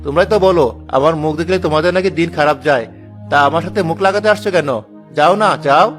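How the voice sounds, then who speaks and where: A man playing a put-upon village husband in a cartoon story, speaking in a plaintive, expressive manner. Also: a little high, fast talker, soft background music, natural voice. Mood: indignant. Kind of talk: Bengali cartoon story dialogue.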